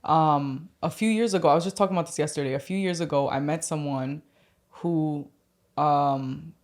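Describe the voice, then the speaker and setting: A woman's voice: low-pitched, moderate pace, darkish tone. A woman from the Bronx, talking casually as a podcast guest, full of filler words and restarts. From Bronx, New York. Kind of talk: casual conversation.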